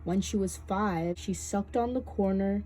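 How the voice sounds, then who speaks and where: A woman reading a children's picture book aloud as a storytime host, with deliberate, expressive storybook delivery. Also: mid-range voice, a little hiss or hum, poor audio.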